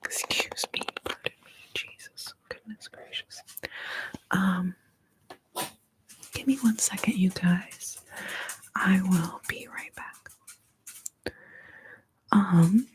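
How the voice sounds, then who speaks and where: A woman whispering softly into a close mic during a playful, chatty ASMR livestream, repeating soft sounds. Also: medium pitch, slow pace, pauses often, low-quality recording. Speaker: ASMR streamer.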